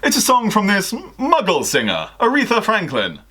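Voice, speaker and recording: A man voicing an overeager, lovestruck teenage schoolboy from a story, in a gushing, drawn-out, exaggerated way. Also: high-pitched, huge pitch swings, sharp tone, breathy edge, pitched above the performer's own voice, exaggerated voice. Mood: eager.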